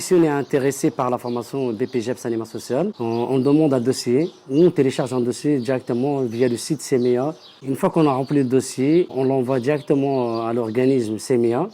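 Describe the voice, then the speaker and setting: Soft-toned man in his thirties. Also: mid-range voice. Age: 30s. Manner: hesitant, explaining step by step. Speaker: trainee social youth worker. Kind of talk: recorded interview answer.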